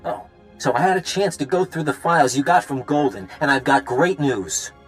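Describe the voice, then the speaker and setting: A man's voice: fairly high pitch, lively intonation, normal speed, faint music behind, natural voice, poor audio. An actor playing an eager, upbeat and cocky male resistance fighter in a video game. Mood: excited briefing.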